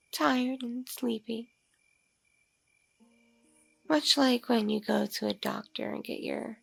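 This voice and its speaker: A woman voicing a seductive, doting mature vampire in an intimate ASMR roleplay, husky and murmuring, slipping into whispers. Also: a little high, dry recording, pitched below the performer's own voice, heavily acted, rough recording. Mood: teasing, seductive. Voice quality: husky, creaky.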